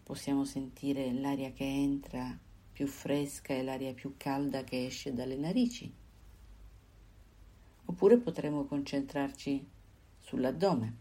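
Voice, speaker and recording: A woman guiding a body scan meditation, giving calm, unhurried instructions in short phrases with pauses. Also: very deep voice, breathy edge. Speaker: meditation guide.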